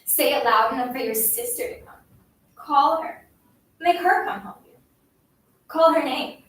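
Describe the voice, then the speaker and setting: A woman's voice: high voice, unhurried, fairly bright tone. A woman playing a cruel schoolgirl who bullies a silent classmate with taunting, insistent commands in a dramatic story performance. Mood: taunting, commanding. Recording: very echoey room, distant mic, faint noise.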